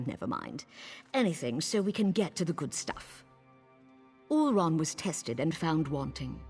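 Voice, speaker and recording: Low-voiced, seductive, haughty noblewoman. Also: in a large hall. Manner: sultry, condescending, theatrical. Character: seductive, haughty noblewoman, flirtatious and coldly dismissive. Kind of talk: video game voice acting. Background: game music.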